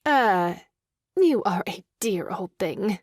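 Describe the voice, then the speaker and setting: A woman's voice: medium pitch, animated, slow, a little mellow, dry recording, clean studio sound. A woman playing a sweet-talking, conspiring young lady in an audio farce, arch and coaxing in manner. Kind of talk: stage farce audio drama acting.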